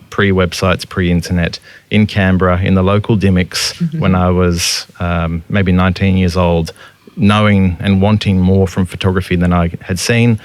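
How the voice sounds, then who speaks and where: A man's voice: deep voice, clear, resonant tone, dry recording. A man, a photographer and teacher, talking thoughtfully in an interview and searching for his words. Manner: thoughtful, searching, with frequent restarts. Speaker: photographer and educator. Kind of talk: reflective interview conversation.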